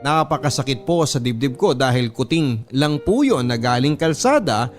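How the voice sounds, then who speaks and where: A man's voice: mid-range voice, expressive, normal speed. A man with a Filipino accent reading a scripted dramatic story in an earnest, polite way, as a storyteller. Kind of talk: scripted dramatic narration of a letter. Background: background music.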